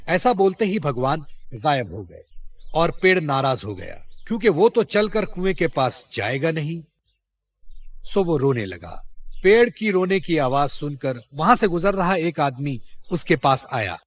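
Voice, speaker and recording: A man's voice: a little high, sing-song, measured pace. A man narrating a scripted moral story for children as a storyteller.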